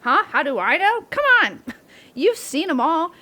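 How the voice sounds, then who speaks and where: A playful young woman camper , acted by a woman in an audio drama. Her voice sits fairly high and leaps around with lots of animation. She is bold and excitable, teasing her friends in casual slang. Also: a little slow, heavily acted. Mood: breezy, casual. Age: young adult. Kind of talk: roleplay audio drama acting.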